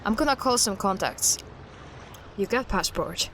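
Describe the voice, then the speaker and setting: An actress playing a level-headed, decisive investigator in an audio drama, with a practical, deliberate manner. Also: female voice, mid-range voice, lively intonation. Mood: decisive, businesslike.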